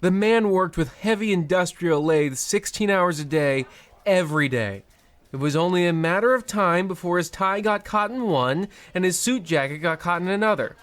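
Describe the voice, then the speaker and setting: A man's voice: high voice, normal speed, fairly bright tone, dry recording. A man acting as an officious, callous bank loan officer in a comedy radio play, fussy and blustering. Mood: callous, indignant.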